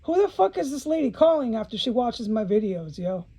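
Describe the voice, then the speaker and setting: Woman, low-lit mellow tone, raspy edge, informal monologue, emphatic, sweary, aggrieved, repetitive phrasing. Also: big pitch swings, a little fast. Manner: blunt, profanity-laden, emphatic, repeating phrases. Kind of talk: informal spoken video monologue to viewers.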